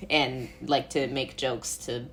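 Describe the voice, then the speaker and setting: Young woman, low register, talkative and a bit goofy, as a theatre guest in relaxed conversation. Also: slow, slight background noise. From Brooklyn, New York. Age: young adult. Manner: self-described goofy, chatty with frequent "like". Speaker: interview guest, playwright and actor. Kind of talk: casual interview chat.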